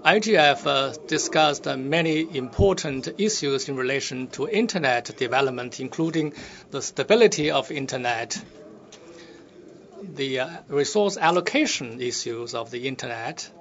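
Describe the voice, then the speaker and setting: A man interpreting a delegate's speech live, halting with frequent fillers. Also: medium pitch, lively intonation, creaky, fairly bright tone. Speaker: simultaneous interpreter. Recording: dull lo-fi feed.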